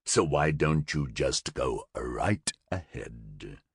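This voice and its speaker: A man's voice: rumbling low, animated, breathy edge, no echo, clean studio sound, voice pushed down, exaggerated voice. A man growling out a gurgling fanged monster prisoner. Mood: taunting. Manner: taunting, sardonic, drawn-out.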